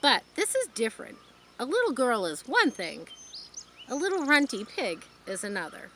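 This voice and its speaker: A woman voicing a farmer father who speaks gently but firmly to his daughter. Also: on the high side, wildly swooping pitch, moderate pace, a little hiss or hum, voice pushed up, exaggerated voice.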